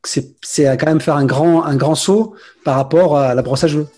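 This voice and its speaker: A man's voice: fairly high pitch, moderate pace, warm tone, breathy edge, soft background music. A man hosting a radio interview, asking long rambling questions in a chatty way. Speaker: radio host.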